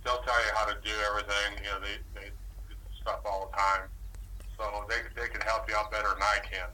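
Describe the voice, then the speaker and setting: An American man speaking as a department manager on a telephone, explaining informally and helpfully in measured phrases. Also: on the low side, flat delivery, pushed and bright, slight background noise. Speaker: city parks department manager. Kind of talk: informal official phone conversation. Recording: distant, tinny telephone line.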